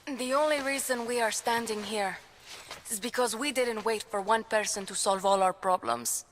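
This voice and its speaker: A woman's voice: a little high, normal speed, a little hiss or hum, lightly acted. A woman playing a resolute revolutionary comrade in a game cutscene, speaking firmly and directly. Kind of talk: dramatic video game cutscene acting.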